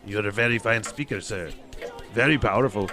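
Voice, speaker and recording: A man playing a bitter, miserly old businessman with a British accent, gruff and sarcastic, in an amateur audio drama. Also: lowish voice, fairly quick, bright, forceful tone. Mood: scornful. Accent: put-on British. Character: bitter, miserly old male London businessman, scornful and curt.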